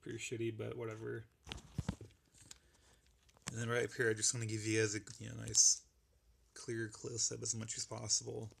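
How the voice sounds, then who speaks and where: Guy with a raspy worn-out voice, kinda bright and edgy, laid back and rambly, faint hiss behind him. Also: fairly low pitch, monotone, moderate pace, pauses often. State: losing his voice. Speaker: model kit builder. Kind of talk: casual show-and-tell walkthrough.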